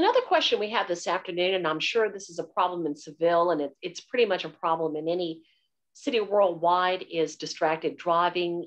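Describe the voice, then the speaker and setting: Female moderator, lowish voice, long winding questions, video call. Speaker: event host asking questions. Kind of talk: moderating a live online Q&A.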